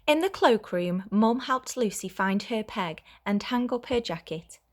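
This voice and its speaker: A woman reads a children's storybook aloud. Her voice sits at a middle pitch, and she tells the tale clearly and expressively, like a teacher at story time with young children. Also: expressive, a little slow.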